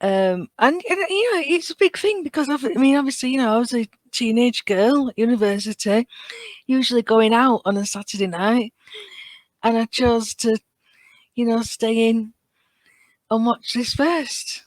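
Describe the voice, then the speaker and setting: A British woman in her thirties chatting casually and telling stories in an animated, chatty way. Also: fairly high pitch, normal speed, no room sound. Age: 30s. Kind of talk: casual conversational chat.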